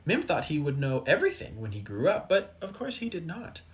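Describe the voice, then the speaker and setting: A person reading a children's storybook aloud expressively, with dramatic pauses and asides to young listeners. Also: mid-range voice, wildly swooping pitch. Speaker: storybook reader.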